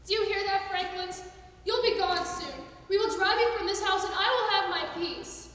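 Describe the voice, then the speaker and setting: An actress playing a nervous haunted young woman, high and distant. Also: moderate pace, fairly bright tone. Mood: defiant. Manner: earnest, nervous, then defiant. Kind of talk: amateur stage acting. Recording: echoey hall from far off, noisy.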